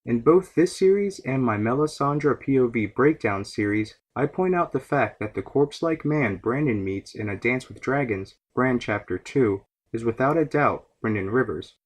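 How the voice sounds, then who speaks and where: A man narrating a scripted fan lore series as its host, in a measured, explanatory way. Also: medium pitch.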